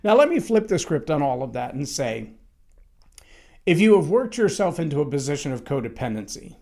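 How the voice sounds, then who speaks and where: A man with a loud, somewhat high-pitched voice holds forth on leadership in a solo talk. He speaks emphatically and conversationally, doubling back on words as he thinks. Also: sing-song, brisk, vocal fry. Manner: conversational, emphatic, with frequent restarts.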